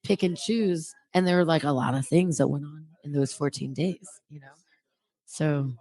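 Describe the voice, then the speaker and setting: Low-voiced woman in her fifties, casual chat. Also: moderate pace, warm tone. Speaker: talk show co-host.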